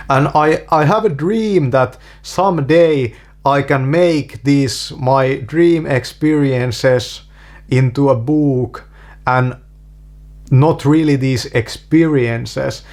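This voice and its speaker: A man from Finland with a Finnish accent, a native Finnish speaker talking in English, halting with many restarts and repeated fillers in a casual monologue. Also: medium pitch, darkish tone. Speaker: video creator.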